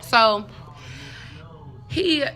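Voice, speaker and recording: Bright-voiced woman telling a profane story. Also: fairly high pitch, extremely slow. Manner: animated, profane, acting out dialogue; conversational asides to the audience. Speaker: vlogger. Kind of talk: casual comedic storytelling to viewers.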